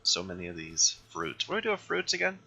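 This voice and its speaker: A man with a hoarse voice from being sick, hosting a game playthrough and thinking aloud as he plays, often correcting himself. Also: low voice, wildly swooping pitch, quick pace, pushed and bright, a little hiss or hum. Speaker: let's play host. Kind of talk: casual gameplay commentary.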